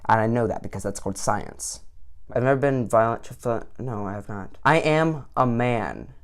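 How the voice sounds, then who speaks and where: A man's voice: medium pitch, expressive, moderate pace. A teenage boy giving sarcastic commentary on an online quiz, with mock-indignant outbursts. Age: late teens. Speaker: vlogger.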